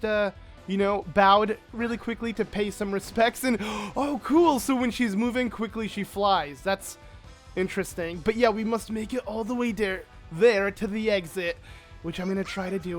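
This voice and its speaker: Let's play host, male, high-pitched and a little hoarse from illness, excitable and rambling, game music faint behind. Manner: excitable, rambling with many fillers. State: sick, slightly hoarse voice. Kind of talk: casual gameplay commentary.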